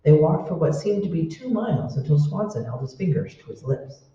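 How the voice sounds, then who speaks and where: Very dark-toned male narrator, echoey. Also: a little high, very animated, a little fast. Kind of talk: scripted radio drama narration. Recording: very roomy, distant, dull sound.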